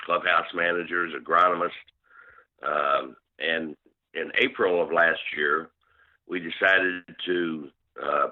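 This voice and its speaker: A man answering interview questions as a club management consultant, explaining steadily with many fillers. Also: sits low. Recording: tinny phone line.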